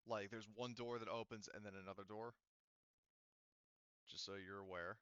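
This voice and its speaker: Guy game mastering, clear smooth low voice, keeps working stuff out as he talks, bit muffled recording. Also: steady tone, fairly bright tone, dry recording. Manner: halting, thinking aloud while working out details. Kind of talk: casual tabletop game narration and refereeing.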